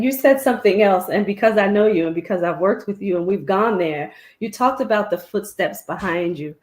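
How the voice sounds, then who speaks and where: A woman's voice: mid-range voice, a little mellow, echoey room. A woman hosting a talk show, chatting casually and encouraging her guest with frequent affirming murmurs.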